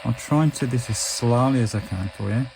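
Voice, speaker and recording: A man's voice: mid-range voice, brisk, gravelly. An English man giving a casual hands-on product review, chatting through each step as he goes. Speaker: reviewer of budget radios. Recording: noisy camera microphone, faint hiss.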